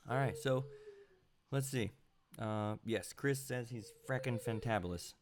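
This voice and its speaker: A man's voice: medium pitch, unhurried, noisy background. A man hosting a casual live stream from home, sounding congested and rambling with lots of fillers and little chuckles into a computer mic. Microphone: home computer mic with high gain.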